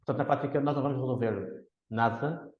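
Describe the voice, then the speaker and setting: A man from Porto with a Porto accent, an economist guest on a podcast, explaining his views in a measured way with frequent restarts. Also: mid-range voice, roomy sound, dull audio. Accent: Portuguese, Porto. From Porto, Portugal. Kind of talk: podcast interview.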